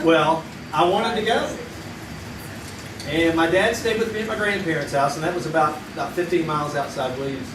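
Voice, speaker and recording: A man's voice: a little high, normal speed, natural voice. An actor playing a man telling a childhood story to friends in a folksy way in a live radio play. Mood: nostalgic. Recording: echoey stage recording from far off, with audience noise.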